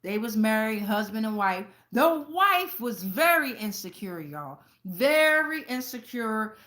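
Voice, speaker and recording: Bright, high-voiced woman reading tarot. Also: very animated, unhurried. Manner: blunt, heavy casual swearing; conversational, addresses viewers directly with frequent check-ins. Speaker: tarot reader and channel host. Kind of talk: casual tarot reading with personal anecdotes.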